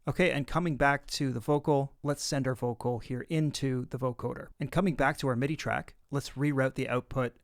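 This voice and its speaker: A man narrating an instructional software tutorial, explaining things casually and step by step. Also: mid-range voice, steady tone, a little fast, hardly any pauses, no echo, studio quality.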